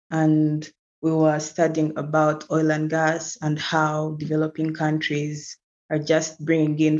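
A woman's voice: sits low, darkish tone. Ugandan woman guest, young, earnest explainer, muffled lo-fi line. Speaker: guest, climate activist. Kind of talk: casual interview chat.

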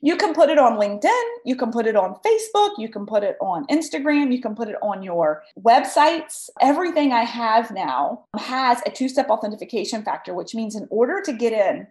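High-voiced woman with a scratchy cold. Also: animated, fairly quick, few pauses, darkish tone, roomy sound. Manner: emphatic, talks straight to listeners. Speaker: podcast host. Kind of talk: solo podcast talk, advice.

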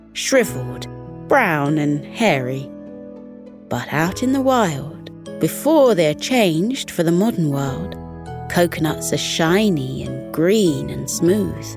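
A woman with a fairly low voice narrates a scripted children's bedtime story. She takes her time, speaking very slowly and soothingly. Music plays behind her.

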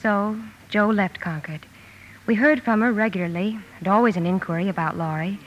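An actress playing a gentle married sister, narrating a family story in measured, reflective fashion for a 1950s radio drama. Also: female voice, medium pitch, close to an everyday voice. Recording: dull old radio broadcast, music behind.

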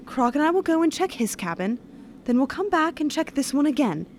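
A woman's voice: high-pitched, very animated, a little fast. Lady playing cool bossy gal in an old radio murder mystery, faint noise behind her. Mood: decisive. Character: Chelsea, bold unflappable young woman among murder suspects. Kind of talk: 1930s radio suspense drama acting.

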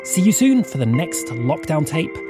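A woman's voice: sits low, big pitch swings, moderate pace. A woman reading scripted podcast credits and an appeal to listeners as the show's announcer. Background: music under the voice.

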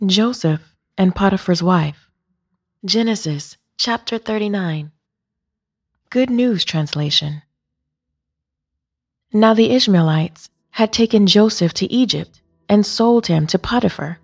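A woman with a husky voice narrates scripture in a scripted storytelling style. Her pitch sits in the middle of the female range, and soft music plays behind her. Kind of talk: scripted Bible reading, expressive storytelling.

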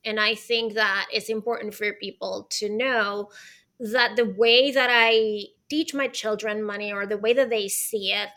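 A woman from Venezuela, a guest on a podcast, talking in a lively, chatty way with many fillers like "right?". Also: medium pitch, measured pace. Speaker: guest, arts consultant and author. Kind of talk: podcast interview.

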